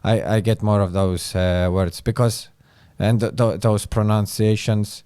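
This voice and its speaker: A man with an Estonian accent, a comedian chatting as a guest and often pausing to search for words. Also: lowish voice, level tone, warm tone, clear, resonant tone, dry recording, clean studio sound. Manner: hesitant, searching for words, many fillers. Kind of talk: casual chat.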